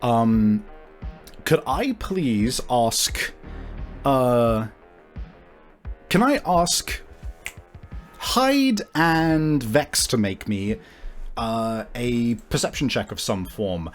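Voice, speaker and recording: A man's voice: mid-range voice, expressive, extremely slow, long pauses, soft background music. A man running a tabletop game as game master, narrating casually and searching for his words.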